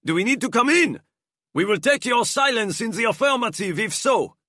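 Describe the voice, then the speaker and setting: Male narrator doing a shrill, loud guard for an audiobook; suspicious, demanding, dry read. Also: expressive, moderate pace, no room sound, full character voice.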